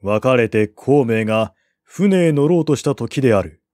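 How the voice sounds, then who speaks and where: Slow-paced man reading a historical novel. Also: medium pitch, lively intonation, darkish tone, dry recording, studio quality. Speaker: audiobook narrator.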